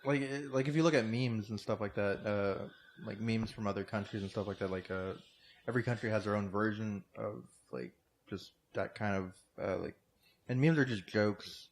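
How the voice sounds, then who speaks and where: Rambling man with a lowish voice. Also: moderate pace. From United States. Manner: rambling, many fillers and restarts. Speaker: podcast co-host or guest. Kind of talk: casual comedy podcast chat.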